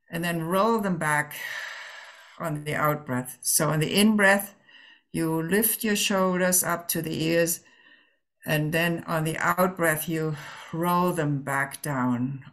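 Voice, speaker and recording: Woman, meditation teacher, low pitch, very slow delivery, gentle instructions, long pauses. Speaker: Zen teacher leading a guided meditation.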